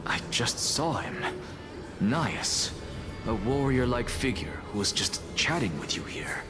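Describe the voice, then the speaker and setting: An actor playing a weary, injured young male adventurer in a video game, speaking in a hushed, musing way. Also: mid-range voice, big pitch swings, takes it slowly, music in the background. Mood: puzzled.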